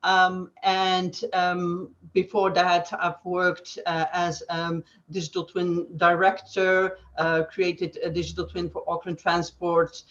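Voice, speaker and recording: A woman from Belgium with a Flemish accent, explaining things as an interview guest in halting phrases with frequent pauses. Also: lowish voice, little pitch movement, a bit bright. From Belgium, Flanders. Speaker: guest digital twin consultant. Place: online webcast. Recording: dull, band-limited web call audio.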